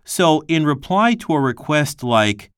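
A man reading a textbook passage aloud for a language lesson, slow and careful, with clear pauses between phrases. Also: a little high, sing-song, a bit bright, dry recording, clean studio sound. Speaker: textbook reader.

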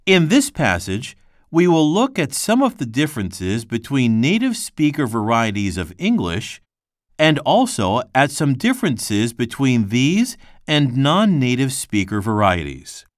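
A man reading a textbook passage aloud for a language lesson, slow and careful, with clear pauses between phrases. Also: mid-range voice, huge pitch swings, no echo, studio quality. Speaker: textbook reader.

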